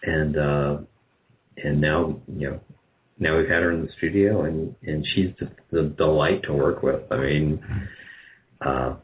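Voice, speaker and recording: A man's voice: a bass voice, fairly even intonation, measured pace. A man chatting casually as an interview guest, thinking aloud in a hesitant, rambling way. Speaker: guest, radio drama producer. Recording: muffled, band-limited call audio.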